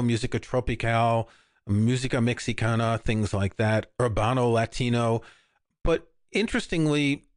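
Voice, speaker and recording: A man's voice: mid-range voice, dry recording. Dry, close-mic'd older man. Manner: relaxed, rambling, many restarts. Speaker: podcast co-host. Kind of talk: casual chat.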